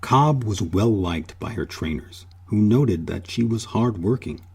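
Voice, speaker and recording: A man narrating a scripted documentary in a measured way, with dramatic pauses and emphasis. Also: fairly low pitch, animated, vocal fry, darkish tone, a little hiss or hum. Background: music.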